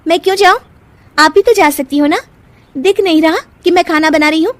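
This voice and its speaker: A woman voicing a defiant, sharp-tongued young newlywed daughter-in-law in a cartoon family drama, feisty and argumentative. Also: high-pitched, expressive, moderate pace, no room sound, pitched above the performer's own voice. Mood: defiant, angry.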